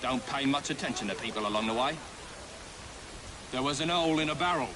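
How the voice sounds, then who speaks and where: A man's voice: mid-range voice, expressive, brisk, background noise, natural voice. A man playing a sickly, guarded working lad with a grudge, in video game cutscene dialogue. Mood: evasive. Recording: clear music behind the voice.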